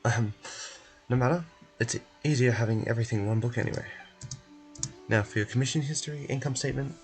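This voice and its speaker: A man's voice: mid-range voice, fast talker, close to an everyday voice. A man voicing a fussy, wordy, coaxing male bureaucrat in a video game. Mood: officious. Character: fussy male bureaucratic clerk, pleased and pushy, nursing hurt leg. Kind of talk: video game character voice-over. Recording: music behind.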